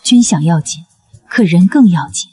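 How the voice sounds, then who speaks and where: A woman playing a gentle, caring dowager consort and mother in a historical drama. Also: fairly low pitch, expressive, moderate pace, slightly rough. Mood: tender concern. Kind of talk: dubbed historical drama acting.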